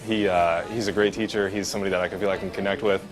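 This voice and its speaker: A man's voice: low voice, fairly even intonation, racing. A male student giving a casual interview testimonial. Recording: music behind the voice.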